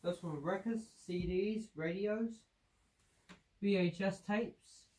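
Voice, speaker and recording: A man's voice: sits high, slow, darkish tone, recorded from a distance, a little hiss or hum. A young man with a stuffy nose from a cold, chatting in a rambling way as he unboxes old gear in a cluttered room. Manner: chatty, rambling, frequent fillers. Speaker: vlogger. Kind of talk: casual unboxing vlog.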